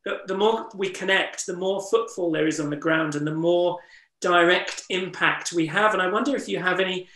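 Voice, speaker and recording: A man with a fairly high, bright, ringing voice talks earnestly and reflectively over a video call, his voice a little strained from disuse. Room echo hangs around his words. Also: steady tone, moderate pace. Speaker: interviewer and wildlife trust ambassador. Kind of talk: webinar conversation. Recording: roomy echo.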